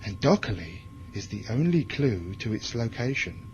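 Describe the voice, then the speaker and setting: Hard, curt space commander, dull recording. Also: male voice, lowish voice, very animated, normal speed, slight background noise. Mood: commanding, grim. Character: hard, bitter male military commander in space. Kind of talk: science fiction audio drama acting. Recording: dull lo-fi audio drama recording, music behind.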